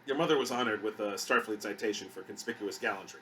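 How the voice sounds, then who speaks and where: A man voicing an older starship captain, formal, measured and compassionate, in an audiobook story. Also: on the low side, fairly bright tone, a little hiss or hum, natural voice. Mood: formal, solemn.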